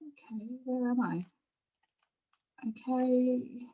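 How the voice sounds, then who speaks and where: A woman's voice: high-pitched, very slow, dark tone, slightly rough, dull audio. Aussie woman, middle aged, chatty and candid, presenting informally on an online call. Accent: Australian. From Australia. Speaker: panel speaker at an online forum.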